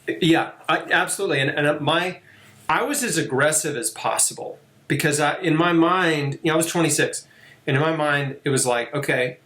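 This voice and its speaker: A man hosting an interview, chatty and relaxed, with many fillers. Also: on the high side, normal speed. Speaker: podcast host. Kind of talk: conversational interview. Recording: echoey room.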